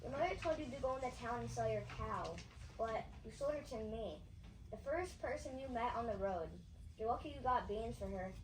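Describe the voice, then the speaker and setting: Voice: medium pitch, a little fast, distant mic, lightly acted. A child playing a confident, lecturing magic bean peddler in a school reader's theatre show, recorded from afar in an echoey, noisy room. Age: child, third grader.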